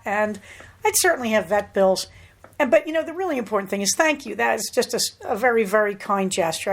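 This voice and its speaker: A middle-aged American woman hosting a podcast, talking in a chatty, rambling way with plenty of asides. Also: fairly high pitch. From United States. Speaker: podcast host. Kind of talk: casual solo podcast chat.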